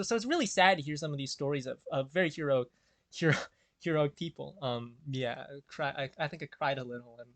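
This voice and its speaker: Young american guy rambling earnestly, lots of ums and restarts, kinda high voice, dry close sound. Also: a bit bright, no room sound. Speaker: video creator. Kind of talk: casual rambling commentary.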